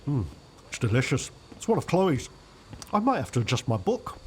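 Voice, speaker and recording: An actor playing an easygoing, level-headed, wry friend in a radio soap. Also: male voice, medium pitch, very animated, moderate pace, slight background noise, natural voice. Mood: pleased. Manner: reasoning, wry.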